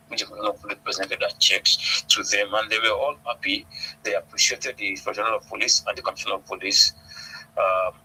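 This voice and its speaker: A man with a Nigerian accent gives interview answers as a police spokesman. His voice is very bright and sharp, and he often restarts or repeats phrases as he recounts things. There is a faint hum of noise on the recording. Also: fairly low pitch, poor audio. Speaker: police public relations officer, radio guest.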